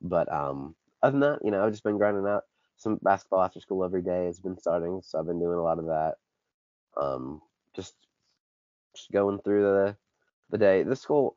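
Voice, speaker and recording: Give me a congested teen guy with a low voice. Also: a little fast, a little mellow, no room sound. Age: teens. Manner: rambling, self-correcting. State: congested, dry throat. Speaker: podcast co-host. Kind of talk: casual sports chat. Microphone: basic home podcast setup. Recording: dull lo-fi dry recording.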